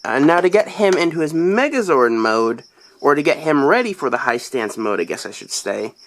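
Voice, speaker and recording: A young man who has been sick, his voice deeper than usual, rambling through a casual toy review with lots of "uh"s. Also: very animated, moderate pace, a bit bright. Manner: rambling, chatty, lots of fillers.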